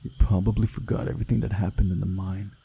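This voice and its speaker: A weary, level-headed young man in a visual novel, read by a man, dryly sarcastic and laconic. His voice is very mellow and dark, with a gravelly rasp. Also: low voice, little pitch movement, fast, slight background noise. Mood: dry, dismissive.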